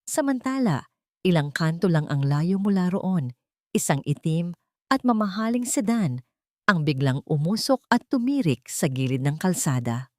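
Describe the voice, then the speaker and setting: Female Filipino narrator, lowish voice, dry close sound, measured and sentimental storytelling. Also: very animated, dry recording. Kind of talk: scripted dramatic story narration.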